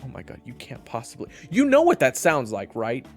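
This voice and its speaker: A man's voice: medium pitch, wildly swooping pitch, fairly quick, soft background music. A man playing an exasperated, sarcastic party leader in a fantasy audio drama, speaking casually. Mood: incredulous, annoyed. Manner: casual, incredulous. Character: exasperated, sarcastic male adventurer leading a party. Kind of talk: fantasy audio roleplay acting.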